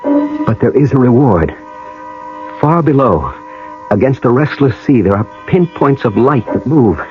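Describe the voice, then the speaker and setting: Brooding man narrating his journey, low voice, measured and moody, muffled old radio drama with music under. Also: sing-song. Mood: awed, intent. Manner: measured, atmospheric first-person storytelling. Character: brooding American man on an ancestral pilgrimage, narrating. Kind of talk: 1980 radio drama acting.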